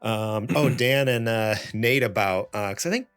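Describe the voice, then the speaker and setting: A man's voice: fairly low pitch, measured pace, a bit bright, clean tone, no echo. A man of about thirty hosting a podcast with casual, jokey, self-deprecating banter. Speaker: podcast host. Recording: music playing behind. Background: background music.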